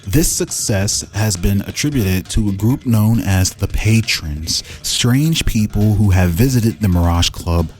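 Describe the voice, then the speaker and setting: Man, low voice, casual host, reading fantasy lore aloud, musing asides, music in the background. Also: animated, measured pace, few pauses, a little mellow. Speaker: host presenting fan-made game lore.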